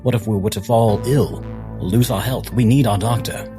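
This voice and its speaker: Man, low voice, earnest, deliberate, formal wording, tabletop player in character, music in background. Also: steady tone.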